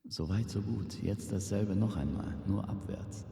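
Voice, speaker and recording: Guy doing a calm sly mentor in a fantasy audio play, low and mellow, unhurried. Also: vocal fry, roomy sound, long reverb tail. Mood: composed. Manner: unhurried, composed, teasing. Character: calm, sly male storyteller guide, cryptic mentor. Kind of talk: fantasy audio drama acting.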